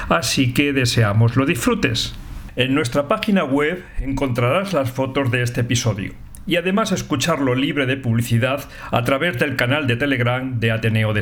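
A man, hosting and interviewing for a podcast. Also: mid-range voice.

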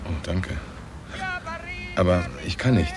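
An actor playing a calm, laconic, sympathetic middle-aged New York police detective in a radio drama. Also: male voice, low voice, slow, soft background music. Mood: reserved, gentle. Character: Andy, gruff-kind married veteran New York police detective. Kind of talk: 1980s German radio drama acting.

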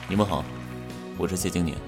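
A man in his twenties playing the aloof, steady eldest member of an idol group, dry and composed. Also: low voice, fast, over loud music, lightly acted. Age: 20s.

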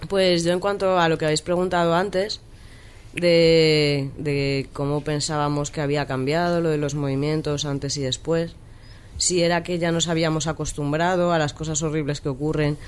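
A woman's voice: deep voice, level tone, normal speed, clear, resonant tone. A woman, an activist guest on a radio talk discussion, speaking steadily and reflectively.

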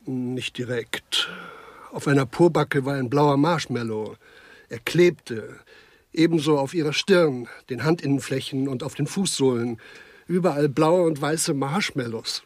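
An actor in a German radio crime comedy, playing a genteel, fussy administrator who laments in a plaintive, repetitive way. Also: male voice, mid-range voice, a little slow, dry recording, natural voice. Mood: troubled, confiding. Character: fussy, lamenting gentleman administrator, easily appalled.

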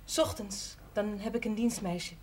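A woman's voice: mid-range voice, steady tone, moderate pace, darkish tone, close to an everyday voice. A woman playing a resigned, matter-of-fact young wife and mother in a naturalistic television drama. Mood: polite, chatty. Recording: noisy old television drama soundtrack.

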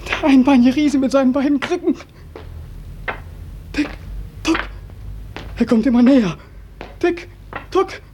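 An actor playing an anguished, despairing young soldier home from war in a 1940s radio play, with urgent questioning and dramatic repetition. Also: male voice, extremely high pitch, a little slow, pauses often. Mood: mounting terror. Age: young adult. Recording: noisy, crackly 1940s radio recording.

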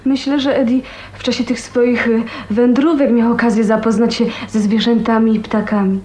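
An actress playing a gentle, resigned, ailing young woman in a radio drama, hesitant and soft-hearted. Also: on the high side, flat delivery, normal speed, close to an everyday voice. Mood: mildly indignant. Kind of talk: Polish radio drama acting.

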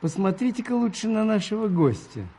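An actor playing a gentle, modest parish priest in a 1980s Soviet radio drama, soft and husky, with a playful, unhurried manner. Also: male voice, high-pitched, sing-song, exaggerated voice. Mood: gently teasing.

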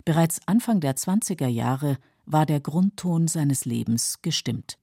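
A woman reading scripted documentary narration for a literary radio feature in a measured, factual, clearly articulated way. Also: low voice, animated, mellow, no echo, clean studio sound.

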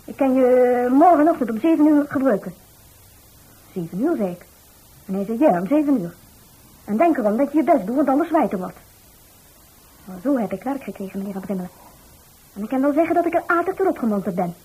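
Very husky, tough young lass. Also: female voice, sits high, lively intonation, brisk, long pauses, warm tone, full character voice, rough recording. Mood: cheerful, bragging. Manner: blunt, colloquial. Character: tough, plain-spoken working-class young woman, stubborn. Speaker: radio actor. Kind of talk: radio drama dialogue.

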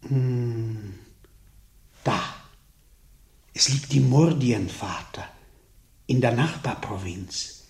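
A man's voice: medium pitch, drawn-out words, pauses often, a little mellow. A man acting a studious, obliging monastery librarian in a German radio drama, searching and deferential, in a roomy, echoing sound. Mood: absorbed, searching.

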